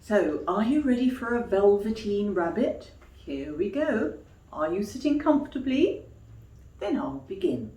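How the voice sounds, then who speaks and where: A woman storyteller reading expressively to young children like a teacher, pausing for questions and explanations. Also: mid-range voice, very animated, mellow. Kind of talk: children's storytime read-aloud with explanatory asides. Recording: echoey room.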